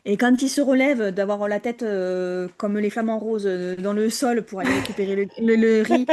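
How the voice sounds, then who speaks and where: A woman co-hosting a casual podcast chat, hesitant, with many fillers and restarts and frequent quiet drops. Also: medium pitch, moderate pace. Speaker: podcast co-host.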